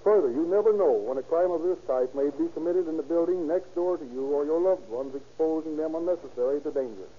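A man's voice: fairly high pitch, fast talker, hardly any pauses, a little hiss or hum. A man, a fire captain, giving a stiff, formal scripted address on 1930s radio. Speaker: fire captain, guest speaker. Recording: muffled old radio recording.

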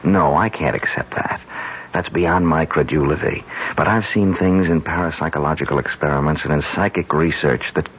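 Rational young husband, radio drama, very deep voice, learned and earnest, muffled vintage sound. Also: male voice, normal speed, a little hiss or hum. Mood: earnest, troubled. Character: earnest, rational newlywed husband, a psychic researcher. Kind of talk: 1970s radio drama acting. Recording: dull old radio broadcast recording.

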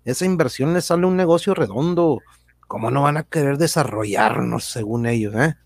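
A man's voice: medium pitch, normal speed, creaky, no echo. An actor plays a folksy, indignant man explaining things in an educational radio drama. He, asks rhetorical questions, and sounds dry and close. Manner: colloquial, rhetorical questions, tag words.

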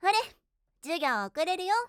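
女性声優が、のんびりしていて人をからかうのが好きな女子高生を演じている。. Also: female voice, very high voice, animated, moderate pace, no room sound, clean studio sound. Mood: easygoing. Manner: playful, teasing. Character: teasing, easygoing high school girl. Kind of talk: voice drama acting.

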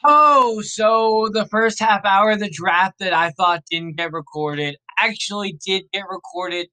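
A man hosting a podcast, thinking aloud in a casual, rambling way, his voice a little raspy and worn. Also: high voice, unhurried, pushed and bright. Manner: rambling, laid-back, self-correcting. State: slightly raspy, tired voice. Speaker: podcast host. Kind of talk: casual thinking aloud during a fantasy draft.

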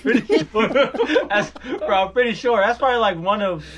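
A man's voice: high voice, lively intonation, normal speed, crowd noise. A man from Australia, teasing and laughing in a casual family chat. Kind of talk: casual banter.

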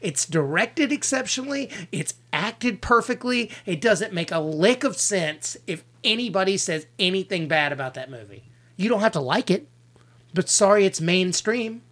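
A man's voice: high voice, expressive, fairly bright tone. A man from the American South with a Southern accent, co-hosting a casual movie review podcast and giving blunt, opinionated takes. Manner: blunt, opinionated, quick to rant. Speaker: podcast co-host; actor.

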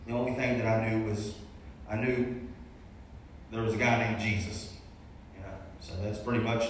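A young man giving a casual, rambling testimony as a guest preacher, restarting his sentences and joking at his own expense. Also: mid-range voice. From Arkansas. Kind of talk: testimony sermon to a congregation. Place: large church sanctuary. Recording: very echoey hall, distant mic, faint room noise.

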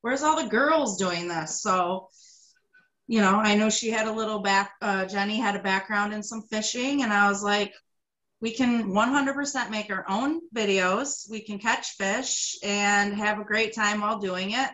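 Woman, 30s, upstate New York, relaxed chatty guest. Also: medium pitch, unhurried, poor audio. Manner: relaxed storytelling with frequent ums. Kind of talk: casual interview chat.

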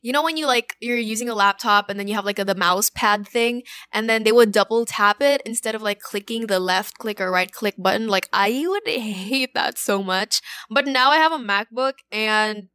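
Bright, raspy-voiced young woman rambling. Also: mid-range voice, moderate pace, no echo. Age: young adult. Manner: rambling, self-deprecating, giggly, with fillers. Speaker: solo podcast host. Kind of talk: casual solo chat. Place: at home, alone. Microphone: phone wrapped in a sock.

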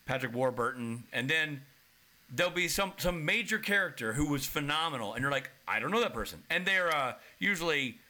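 A man in casual chat who jokes, stammers into restarts and gets animated as he tells stories. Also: a little high, fairly quick, low-quality recording.

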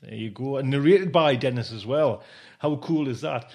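A man's voice: mid-range voice, moderate pace, darkish tone. A man hosting a podcast, introducing it in a chatty, enthusiastic and informal way. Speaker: podcast host.

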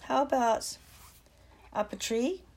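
A woman's voice: on the high side, slow, darkish tone, pitched above the performer's own voice. A woman doing a sneering schoolboy bully. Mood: taunting. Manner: sneering, taunting.

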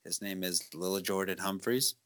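A deep-voiced man talks loosely on a podcast, circling his points with fillers. His tone is forceful and bright, and the recording is dry with no room sound. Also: moderate pace, dry recording. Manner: rambling, hedging with fillers. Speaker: podcast co-host. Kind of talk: casual chat.

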